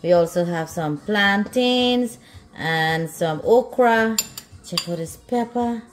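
A woman's voice: medium pitch, slow, echoey room, faint music behind. A middle-aged woman chatting casually as a food vlog host, often repeating herself as she exclaims over the food. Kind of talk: casual cooking vlog chat. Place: home kitchen, indoors.

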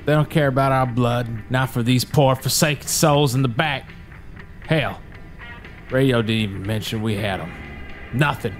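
An actor playing a cruel, mocking outlaw brother who makes threats in folksy rural slang. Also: male voice, medium pitch, normal speed, faint music behind, natural voice. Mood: sneering. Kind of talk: indie audio drama acting.

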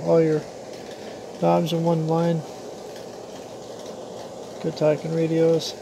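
An American man giving a casual, matter-of-fact product demonstration in short, plain-spoken phrases. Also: fairly high pitch. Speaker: radio hobbyist presenter. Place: small indoor room at a radio bench. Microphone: handheld camera or phone mic. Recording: clear steady background hiss and hum.

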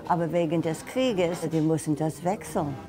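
An older woman from New York with an American accent, speaking halting German with pauses and restarts. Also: sits low, dark tone. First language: English. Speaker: interviewee.

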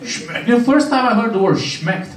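A young man from Romania doing a stand-up set on a small club stage with a handheld mic, delivering deadpan punchlines and chatting with the crowd. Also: very high voice, moderate pace. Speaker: stand-up comedian. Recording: roomy club sound, camera far off. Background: audience laughter.

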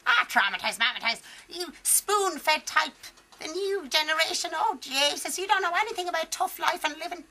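A young woman voicing a foul-mouthed, gleeful old Irish granny ghost in a radio comedy, with a high, cackling, crude voice. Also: expressive, normal speed, pushed and bright, exaggerated voice. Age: young adult. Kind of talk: improvised radio comedy acting. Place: large private home.

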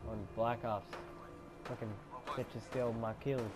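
A young man with a congested voice giving casual, swearing commentary while playing a game, mostly low and mumbled with sudden loud outbursts. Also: flat delivery, slow. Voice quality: congested, stuffy. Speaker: gamer playing a shooter game.

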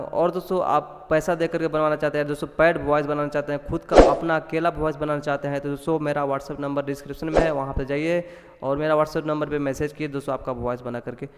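Fast-talking man in heavy reverb. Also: on the high side, slight background noise. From India. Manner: repetitive, addresses viewers as friends. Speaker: tutorial channel host. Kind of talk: casual screen-recording voice-over. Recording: long reverb on the voice.

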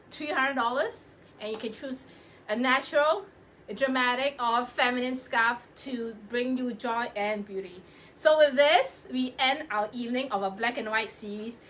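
A woman with a high, bright voice hosts a live shopping show. She chats effusively and coaxes her audience along, with some noise in the recording. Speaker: host of a fashion live show. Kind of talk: live sales presenting, chatty.

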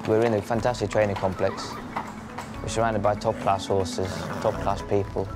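A young man from Norway, a jockey, giving relaxed, measured interview answers. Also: lowish voice, over loud music.

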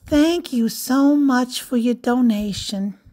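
A woman doing a gentle, kindly storybook librarian. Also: fairly high pitch, takes it slowly, pitched above the performer's own voice. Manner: gentle, reassuring, amused. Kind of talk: storybook read-aloud.

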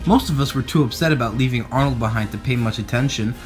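A man reading aloud to children in an expressive, playful storybook style. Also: medium pitch, fast talker, faint music behind. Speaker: storybook reader. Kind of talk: animated read-aloud narration for children.

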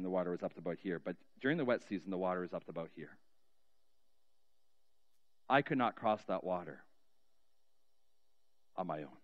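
A middle-aged man preaching as a pastor in a church sanctuary, telling a story earnestly and slowing down with soft, trailing endings. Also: low-pitched, highs cut off. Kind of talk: sermon storytelling and spoken prayer. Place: large church sanctuary, indoors. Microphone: cheek headset mic.

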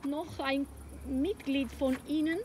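Middle-aged non-native woman, high unhurried voice, hesitant tour guide, noisy outdoor phone clip. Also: fairly even intonation, darkish tone. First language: not German. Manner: halting, many fillers and self-corrections, giggles. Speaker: school director giving a tour. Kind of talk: improvised walking presentation. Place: outdoors on a school playground at dusk.

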